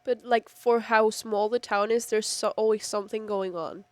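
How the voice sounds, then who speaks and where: A woman's voice: mid-range voice, steady tone, normal speed, darkish tone, no echo. A teenage young woman from Spain, chatting casually in an interview. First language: Spanish. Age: teens. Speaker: exchange student being interviewed.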